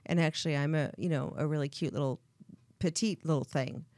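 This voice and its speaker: A woman's voice: sits low, fairly even intonation, a little fast, a little mellow, dry recording, studio quality. A woman hosting a casual talk show, rambling and giggling, with a strong voice and a constant "you know". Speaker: host. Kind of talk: casual chat.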